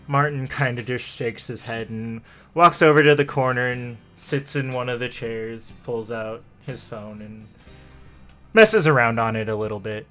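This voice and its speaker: A man working as game master, narrating a tabletop roleplaying game in a deliberate, suspenseful storytelling manner. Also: medium pitch, sharp tone, soft background music.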